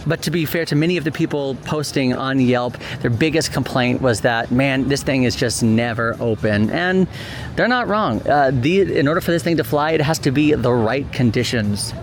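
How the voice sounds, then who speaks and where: A man's voice: medium pitch, moderate pace, a little hiss or hum. A man hosting a vlog outdoors, explaining things casually to the camera. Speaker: vlog host. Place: outdoors at a shopping and dining district. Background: music, outdoor ambience.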